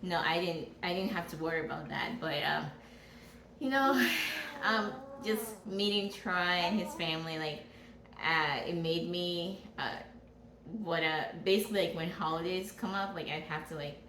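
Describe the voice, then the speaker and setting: Casting: woman, slightly low voice, hesitant casual vlog chat, roomy sound with some noise. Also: moderate pace, a bit bright. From Ghana. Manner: hesitant, searching for words, repeats herself. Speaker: co-host of a family vlog. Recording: roomy echo, clear background noise.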